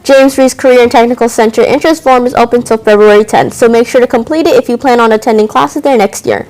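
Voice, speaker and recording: A teenage girl anchoring a school news show, reading announcements briskly with occasional stumbles. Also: a little high, a little mellow, rough recording. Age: teens.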